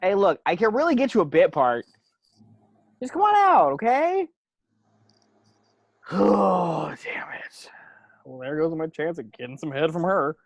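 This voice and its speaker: A smarmy, crude Hollywood producer acted by a man in a home script reading. The pitch runs a bit high and swings widely. The sound is very dry. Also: big pitch swings, a little slow, vocal fry, long pauses, dry recording, full character voice. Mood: pleading, annoyed. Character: sleazy, cocky male Hollywood producer. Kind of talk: amateur at-home screenplay table reading.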